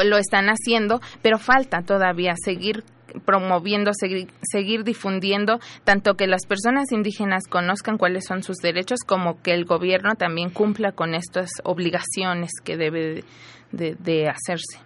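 A woman's voice: medium pitch, a bit bright. A woman from Hidalgo, Mexico, talking earnestly as a guest on a radio show. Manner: earnest, laughs easily. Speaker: guest, human rights advocate. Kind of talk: radio interview.

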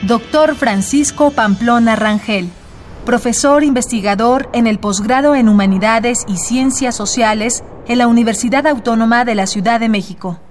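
A woman radio announcer reading credits and introductions in a formal, deliberate way. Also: a little high, faint music behind. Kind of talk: scripted announcing.

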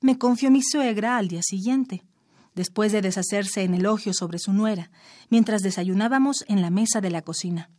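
A woman reading her own short story aloud in a measured, expressive storytelling manner. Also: mid-range voice, dry recording, studio quality.

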